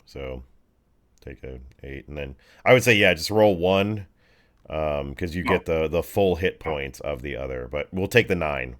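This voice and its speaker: A man's voice: a bass voice, a little slow, fairly bright tone. A man casually running a tabletop game as game master, narrating and talking through rules.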